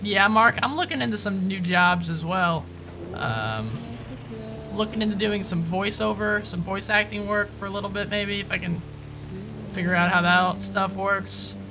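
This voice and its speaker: A man's voice: sits high, moderate pace, over music, poor audio. A man streaming a game, chatting casually with viewers in informal slang and commenting as he plays. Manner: informal, slangy, says dude and man often. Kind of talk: casual live-stream chat and gameplay commentary.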